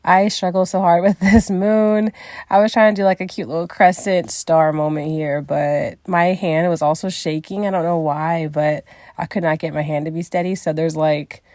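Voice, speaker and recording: Raspy-voiced woman vlogger, low and mellow. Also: fairly even intonation, normal speed. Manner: easygoing, meandering and self-deprecating. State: raspy from a cold. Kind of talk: casual vlog narration.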